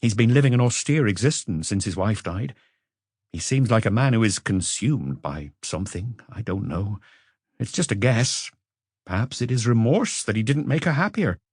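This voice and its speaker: A man's voice: fairly low pitch, lively intonation, normal speed, a little mellow, breathy edge, no room sound, clean studio sound. A man voicing a troubled man under questioning, earnest and hesitant, in an audiobook.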